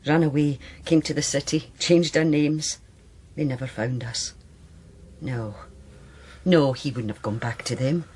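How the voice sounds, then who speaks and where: An actress playing a brittle, anguished sister in a radio drama, with a Scottish accent and a halting, agitated, bitter delivery. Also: female voice, very deep voice, a little mellow, breathy edge, heavily acted. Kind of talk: naturalistic radio drama acting.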